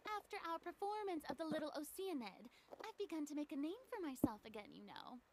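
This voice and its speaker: A haughty young female star of the stage in a video game. Her voice is high and very animated, full of dramatic self-importance, with hesitant stumbles when flustered. There is faint noise behind her. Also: brisk. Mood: haughty, proud. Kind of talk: acted video game dialogue.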